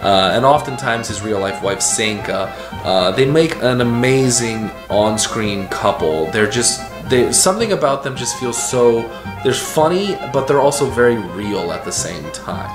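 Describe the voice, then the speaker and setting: A man in his thirties talks straight to camera from a couch in his living room, an eager, lively storyteller. The room sounds roomy, and clear music plays under his voice. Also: mid-range voice, unhurried. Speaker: video host. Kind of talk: casual explainer vlog.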